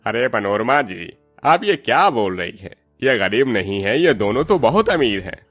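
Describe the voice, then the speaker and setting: A man's voice: medium pitch, very animated, normal speed, a bit bright, dry recording, soft background music. A man voicing a deferential, emphatic accountant in a cartoon story. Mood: shocked. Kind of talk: Hindi cartoon morality story acting.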